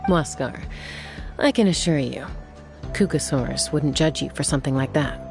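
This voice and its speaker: A woman playing a calm, dependable warrior in a video game, earnest and assured. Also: deep voice, moderate pace, gravelly, music in the background. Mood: reassuring.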